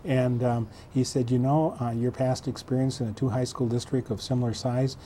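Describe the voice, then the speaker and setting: Measured man in his sixties. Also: mid-range voice, steady tone, mellow, slight background noise. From south central Minnesota. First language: German. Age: 60s. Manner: measured, halting, many fillers. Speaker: school superintendent guest. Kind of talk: local TV interview.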